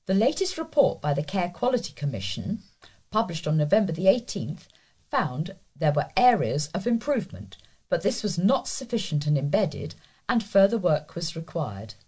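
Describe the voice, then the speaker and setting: A woman's voice: on the low side, sing-song, moderate pace, dark tone, breathy edge. A woman reading scripted local news aloud as a volunteer news reader.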